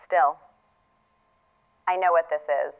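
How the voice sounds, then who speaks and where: An actress playing a wry, tender middle-aged woman leaving a farewell message, reflective and halting, through a narrow playback filter. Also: low-pitched, fairly even intonation, creaky, heavily acted. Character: wry, tender older woman saying a last goodbye. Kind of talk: recorded farewell message in audio fiction. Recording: thin, phone-like recorded playback.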